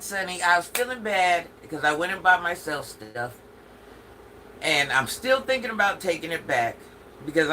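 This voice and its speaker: An older woman hosts a casual phone livestream in a deep, forceful voice. She sounds stuffed up from allergies and chats straight to her viewers, with faint noise behind her. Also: rough recording. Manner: chatty, talks straight to viewers. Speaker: livestream host.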